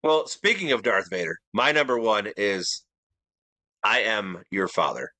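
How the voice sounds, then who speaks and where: A man's voice: on the low side, big pitch swings, unhurried, pushed and bright, no echo. A man co-hosting a podcast in casual, bantering conversation. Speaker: podcast co-host.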